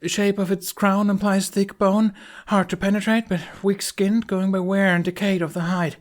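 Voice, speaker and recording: A man's voice: sits high, level tone, normal speed, voice pushed up, close to an everyday voice. A man voicing a nervous, brainy young male Viking with a cracking voice, stuttering out clipped facts in a fantasy audiobook. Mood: nervous, frightened.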